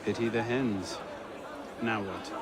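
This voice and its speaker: A man's voice: lowish voice, takes it slowly, very noisy. A man playing a self-assured, dry-witted adventurer in a historical video game, speaking in a laconic way. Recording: crowd and music behind.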